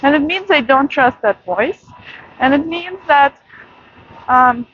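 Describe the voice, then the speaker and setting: A woman vlogger giving a soft, reflective late-night monologue outdoors in a city, with many pauses and fillers. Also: sits high, animated, muffled recording.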